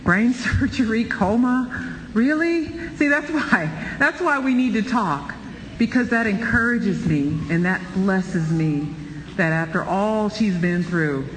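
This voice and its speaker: A man leading a church Bible study, preaching with animated, exclaiming energy. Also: high voice, takes it slowly. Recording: roomy reverb, light background noise.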